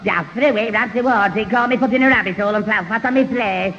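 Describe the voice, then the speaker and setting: An actor playing a dim, eager lad with a stammer in a 1970s radio sitcom. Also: male voice, high voice, quick pace, pushed and bright. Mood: confused. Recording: dull, echoey, noisy old radio broadcast.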